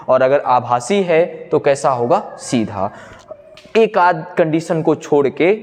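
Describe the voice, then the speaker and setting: Male coaching-class teacher, slightly high voice, explains step by step with question-and-answer phrasing, recorded in a reverberant room. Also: darkish tone. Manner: asks questions and answers them himself, repeats key phrases for note-taking. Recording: echoey hall-like room reverb.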